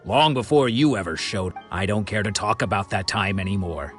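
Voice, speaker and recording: Male actor for embittered veteran swordsman, game role: lowish voice, measured, dignified, reflective, formal. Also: a bit bright, lightly acted. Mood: defensive, proud. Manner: measured, earnest, formal storytelling. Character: proud, embittered former senior swordsman disciple, grave, reflective. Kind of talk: video game voice acting. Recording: music playing behind the voice.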